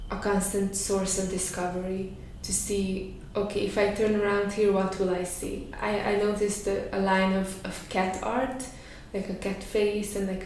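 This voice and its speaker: A woman speaks in a flat, even tone, thinking aloud and filling her pauses with soft fillers. Her voice rings through a very echoey room, heard from some distance off the mic. Faint noise hangs underneath. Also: medium pitch. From Romania. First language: Hungarian. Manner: hesitant, thoughtful, pauses with many fillers. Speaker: artist in residence. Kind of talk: reflective interview answers.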